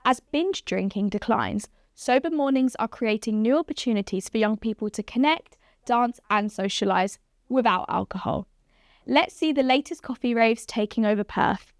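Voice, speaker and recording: A woman presenting a news bulletin, introducing reports with a crisp, polished read. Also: on the high side, animated, moderate pace, dry recording, clean studio sound. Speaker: news anchor. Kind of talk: scripted news anchor read.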